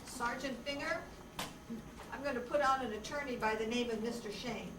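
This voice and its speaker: A woman's voice: fairly high pitch, moderate pace, lightly acted. Woman as a brash detective in an echoey room. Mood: urgent. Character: brash, bossy hard-boiled detective, slangy and wry. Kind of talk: amateur radio detective play reading. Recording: far, echoey room with clear noise.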